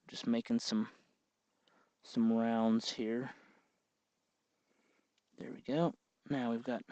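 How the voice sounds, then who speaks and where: A man giving an informal how-to commentary as host, thinking aloud and pausing hesitantly as he works. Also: medium pitch, warm tone, no room sound, lo-fi sound. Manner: hesitant, meandering, thinking aloud while working. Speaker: host of a DIY electronics tutorial. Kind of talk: informal hands-on tutorial commentary.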